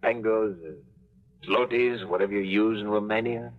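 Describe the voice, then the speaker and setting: An actor playing a cynical, wisecracking American adventurer who also narrates, with a breezy hard-boiled delivery, on a 1950s radio drama. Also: male voice, fairly low pitch, fairly even intonation, moderate pace, natural voice. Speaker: narrator of the story. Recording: muffled 1950s radio broadcast with music.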